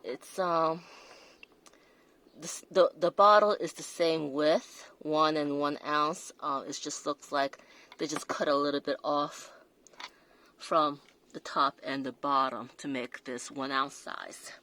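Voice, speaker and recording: Slow, low-voiced woman with an accent. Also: steady tone, a bit bright. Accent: non-native English accent. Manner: hesitant, many fillers and restarts. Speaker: perfume reviewer. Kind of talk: casual product review vlog.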